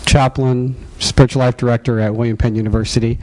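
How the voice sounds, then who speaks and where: A man's voice: mid-range voice, little pitch movement, fast talker, darkish tone, poor audio. A man who works as a university chaplain introducing himself lightly and with a joke. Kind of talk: self-introduction.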